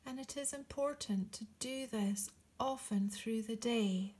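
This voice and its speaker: A woman leading a guided meditation in a soft, breathy, near-whispered voice, gently encouraging and soothing, with long pauses. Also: fairly high pitch.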